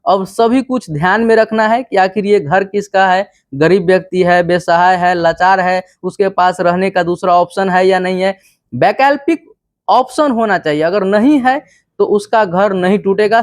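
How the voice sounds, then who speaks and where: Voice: medium pitch, dry recording, clean studio sound. A presenter with a Bihari accent explains news in an informal, didactic way, reading out passages and then spelling them out directly to the listener. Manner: didactic, repeatedly addressing the listener.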